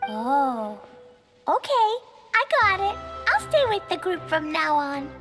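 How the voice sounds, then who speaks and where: A woman's voice: high-pitched, very animated, slow pace, music in the background, heavily acted. A woman voicing a sweet, curious little girl in a video game, with a light childlike voice and a naive, eager manner. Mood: obedient. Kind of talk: video game cutscene voice acting.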